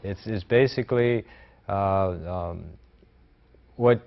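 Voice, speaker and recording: A man's voice: fairly low pitch, slow. An American man explaining things informally in an interview, in plain conversational speech. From United States. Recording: slightly muffled interview audio.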